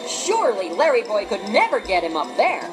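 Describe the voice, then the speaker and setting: A woman narrating a read-along storybook in an expressive, dramatic way. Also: mid-range voice, normal speed, rough recording. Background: background music.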